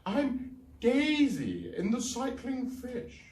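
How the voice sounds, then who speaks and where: Trilling, gushing stage diva played by a man, high and swooping, slow and dramatic. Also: wildly swooping pitch, darkish tone, exaggerated voice. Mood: gushing theatrical. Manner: trilling, gushing, breaks into song. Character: theatrical grand actress woman, gushing diva. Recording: roomy echo.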